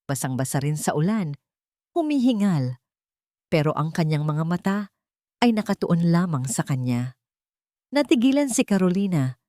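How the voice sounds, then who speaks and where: A woman's voice: fairly low pitch, very animated, normal speed, long pauses, mellow, no echo. Woman, storyteller, emotive melodramatic narration, dry sound. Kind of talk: dramatic scripted story narration.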